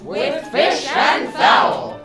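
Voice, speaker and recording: Ad spot: woman as bright-spirited radio pitchwoman, slow swooping delivery, roomy echo, crowd noise. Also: big pitch swings, exaggerated voice. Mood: cheerful, selling. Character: cheerful vintage radio wine jingle pitchwoman. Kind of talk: old-time radio advertisement. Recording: roomy, loud music and crowd sound.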